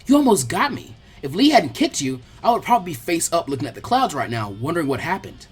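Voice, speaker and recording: A storyteller voicing a brisk, easily annoyed but friendly teenage girl who talks in a blunt, casual way. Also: mid-range voice, sing-song, natural voice.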